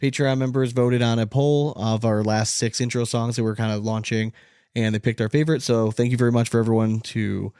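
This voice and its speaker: A man's voice: mid-range voice, fairly even intonation, fairly quick, a little mellow, clean tone, dry recording, clean studio sound. A man co-hosting a podcast in casual, informal chat, loosely swearing here and there. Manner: informal, lightly profane. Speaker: podcast co-host.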